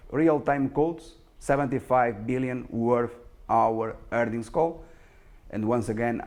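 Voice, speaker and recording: Male market analyst, non-native English speaker, low and gravelly, halting yet sure of himself. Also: a little mellow. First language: not English. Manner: halting, emphatic, self-assured. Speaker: stock market analyst and presenter. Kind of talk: self-promotional market commentary over slides.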